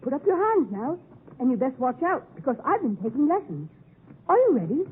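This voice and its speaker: Cocky, high-voiced young gentleman. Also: sing-song, slightly rough, full character voice. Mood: plucky bravado. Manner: brisk, formally polite bravado. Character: cocky, chirpy, pale young gentleman boy. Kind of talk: 1950s radio drama acting. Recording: muffled narrow-band 1950s radio recording.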